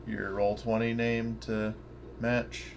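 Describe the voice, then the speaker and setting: A man's voice: fairly low pitch, completely flat delivery, takes it slowly, gravelly. A man chatting casually as a player in an online tabletop game. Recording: faint background noise on online call.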